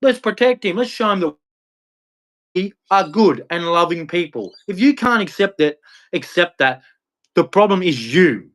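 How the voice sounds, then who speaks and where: A man's voice: high-pitched, animated, unhurried, fairly bright tone. An Australian man streaming a blunt, confrontational rant to his chat, ending with a preachy religious sign-off. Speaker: live streamer. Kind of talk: ranting monologue to chat.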